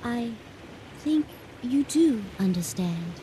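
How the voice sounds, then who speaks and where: An actress playing a shy, bookish, lovestruck young woman in a radio drama, earnest and halting. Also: on the high side, expressive. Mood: earnest, hopeful. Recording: noisy 1980 radio drama recording.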